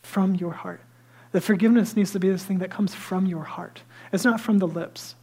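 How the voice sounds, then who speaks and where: A man with a high, light voice preaching a sermon as a pastor, telling stories lively and dropping into hushed asides. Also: moderate pace, husky. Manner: lively storytelling that drops to hushed, near-whispered asides; self-deprecating and conversational.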